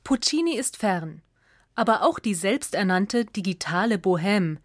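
A female narrator delivers a scripted essay for radio. Her delivery is measured and clearly articulated, with a factual tone and a medium pitch. The sound is close and dry, with no room around the voice. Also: animated, no room sound.

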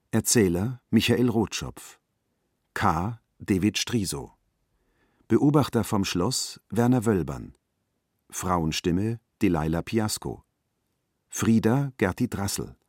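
Male announcer, low voice, unhurried credit reading, raspy edge, dry studio. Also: no room sound. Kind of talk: scripted announcement.

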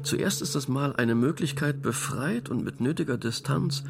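Gravelly smug domineering man. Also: mid-range voice, expressive, moderate pace, music in the background. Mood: smug, approving. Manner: dismissive, self-assured. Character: domineering, cold-blooded man who scoffs at moral qualms. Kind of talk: German radio drama acting.

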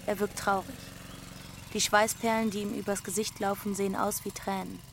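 A woman's voice: medium pitch, level tone, normal speed, a little hiss or hum, lightly acted. Plain-spoken runaway girl narrator played by a woman. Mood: observant, calm. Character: young runaway girl narrating her road trip. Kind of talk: scripted first-person narration.

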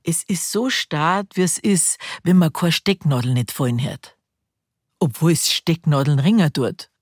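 A woman's voice: deep voice, unhurried, dry recording, clean studio sound. A woman playing an intimate, earnest storyteller with a Bavarian accent, narrating a drama. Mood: hushed, intense.

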